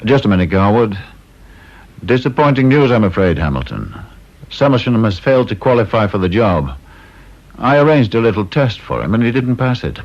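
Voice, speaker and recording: An actor playing a coolly calculating security chief in a radio drama, British accent, with a measured, dryly understated manner. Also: male voice, lowish voice, very animated. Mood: regretful. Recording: dull radio play recording.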